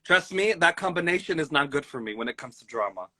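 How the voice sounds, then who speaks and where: A man's voice: a little high, lively intonation, fast, bright, forceful tone. A young man talking as an interview guest, chatty and full of filler words. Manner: chatty, lots of "like". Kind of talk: casual interview chat.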